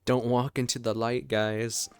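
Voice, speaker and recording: A man's voice: medium pitch, level tone, a little slow. A man giving casual, off-the-cuff reaction commentary. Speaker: reaction video host. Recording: faint game music behind the voice.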